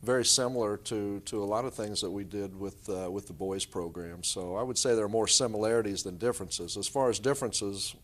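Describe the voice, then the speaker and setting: A middle-aged man with a lowish voice speaks as a coach being interviewed. He gives explanatory, conversational answers in the manner of a guest on a sports show. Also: brisk, few pauses.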